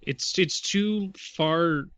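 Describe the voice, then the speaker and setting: A man co-hosting a comedy podcast, riffing casually with deadpan jokes. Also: on the high side, very slow. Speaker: podcast co-host. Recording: dull, lo-fi but dry track.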